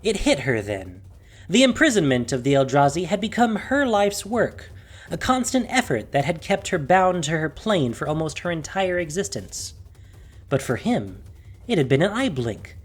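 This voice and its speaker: Low-voiced woman narrating with dramatic, swooping emphasis. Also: big pitch swings, moderate pace, slightly rough. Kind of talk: dramatic scripted story narration.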